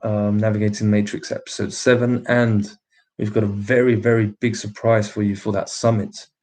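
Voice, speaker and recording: A man's voice: fairly low pitch, level tone, moderate pace, darkish tone. A man with a slightly British accent hosting a casual livestream, putting emphatic rhetorical questions to his viewers and laughing often between remarks. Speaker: livestream host.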